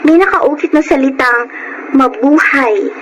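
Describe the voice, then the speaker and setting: A woman's voice: high-pitched, a little slow, fairly bright tone, muffled recording, a little hiss or hum. A woman playing a polite, hardworking thirteen-year-old girl in a Tagalog radio drama, earnest and devoted. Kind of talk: Tagalog radio drama acting with first-person narration. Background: music.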